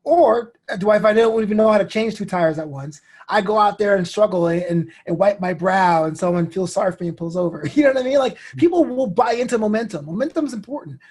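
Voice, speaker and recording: A man in his thirties talking casually as an interview guest, telling animated stories with slang and acted-out quotes. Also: sits high, quick pace, hardly any pauses. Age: 30s. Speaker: interview guest, DJ, comedian and host.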